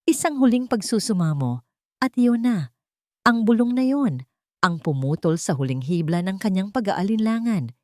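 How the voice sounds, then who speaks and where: A woman reading a dramatic scripted story as narrator, in a measured and solemn delivery. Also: medium pitch, big pitch swings, darkish tone, no room sound, studio quality.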